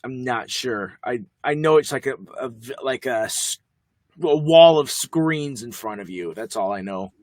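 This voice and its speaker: A young man co-hosting a podcast, talking quickly in a rambling, jokey way. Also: mid-range voice, lively intonation, no echo. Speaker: podcast co-host. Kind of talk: casual chat.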